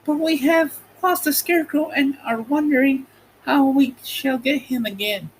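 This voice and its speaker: A man's voice: very high-pitched, a little slow, a little hiss or hum, voice pushed up, poor audio. A man voicing an earnest, polite young girl in a storybook reading.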